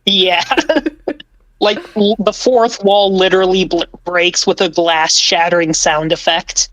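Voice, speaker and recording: Woman, low voice, very slow pace, playful, dry close sound. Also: level tone, a bit bright, no echo, highs cut off. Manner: playful, enthusiastic. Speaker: ASMR script writer on a podcast panel. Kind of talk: casual podcast chat.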